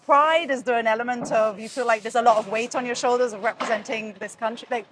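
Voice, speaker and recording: Woman interviewer, rapid and eager, a touch high. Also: steady tone, background noise. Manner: upbeat, enthusiastic, quick follow-ups. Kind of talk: interview questions.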